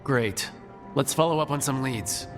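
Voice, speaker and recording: A man's voice: mid-range voice, unhurried, music in the background, natural voice. An actor playing an earnest, composed male team leader in a video game, speaking directly. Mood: encouraging. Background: game score.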